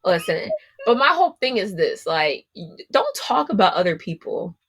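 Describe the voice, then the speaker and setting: A woman's voice: lowish voice, big pitch swings, normal speed, a bit bright, breathy edge. A young adult woman from Guyana co-hosting a podcast, talking bluntly and with plenty of profanity in casual chat. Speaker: podcast co-host.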